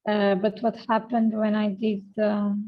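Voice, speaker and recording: A woman's voice: mid-range voice, monotone, unhurried, dull audio. A woman taking part in an online workshop, asking a tentative question about her work. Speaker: workshop participant.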